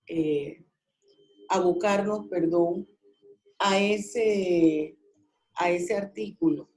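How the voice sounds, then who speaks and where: Female law lecturer, very unhurried delivery, didactic, leading an online class. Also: medium pitch, warm tone, rough recording. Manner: didactic, questioning students. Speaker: law professor. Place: video call.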